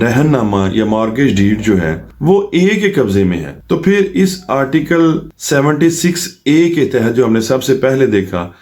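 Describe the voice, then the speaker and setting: A man with a Pakistani accent lectures on law in a mid-range voice. His delivery is formal and methodical, built for explanation. When he quotes statutes in English, he slows to a careful, deliberate reading. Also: mid-range voice, darkish tone. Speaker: lawyer giving law lessons. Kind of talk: explanatory legal lecture to viewers.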